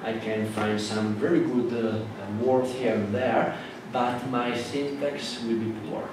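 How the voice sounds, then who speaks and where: A man with an Italian accent, a native Italian speaker, giving a classroom lecture in a halting way with many fillers and restarts. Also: mid-range voice, fairly even intonation. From Italy. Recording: echoey hall from far off with noise.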